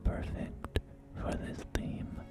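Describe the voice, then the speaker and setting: A man's voice: takes it slowly, close to an everyday voice. A man whispering in an ASMR roleplay as a courteous, enthusiastic post-apocalyptic real estate agent, with genteel, hesitant sales patter. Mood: softly enthusiastic. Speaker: roleplay performer. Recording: music behind close whispering. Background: background music.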